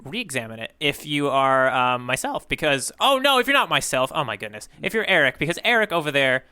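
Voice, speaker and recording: Male podcast co-host, slightly high and crisp, casual rambling recap delivery with frequent self-corrections. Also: sing-song, a little fast, pushed and bright.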